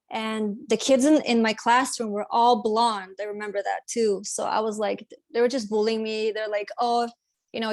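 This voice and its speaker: A woman tells her own story as an interview guest. She talks slowly in a slightly high voice, with many fillers and restarts. The sound is dry, with no room echo. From Sweden. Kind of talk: casual personal storytelling interview.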